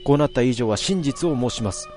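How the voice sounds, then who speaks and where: A man's voice: medium pitch, expressive, fairly quick, darkish tone, faint music behind, natural voice. A man voicing a loyal female servant who speaks formally and pleads earnestly. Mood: pleading.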